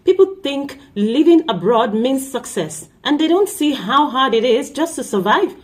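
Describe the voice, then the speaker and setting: A woman's voice: high-pitched, expressive, moderate pace, a little mellow, reverberant. A woman from Nigeria, an online business mentor, hosting a promotional video in an upbeat, persuasive way. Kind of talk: promotional testimonial address.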